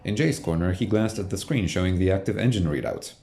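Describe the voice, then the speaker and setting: A man's voice: low-pitched, animated, fast talker, gravelly, mellow, slight background noise. A man giving scripted, storytelling narration for an audio drama. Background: music.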